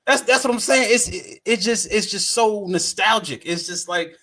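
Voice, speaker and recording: A man co-hosting a casual podcast chat, talking in an animated, halting way and repeating phrases for emphasis. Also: high-pitched. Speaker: podcast co-host.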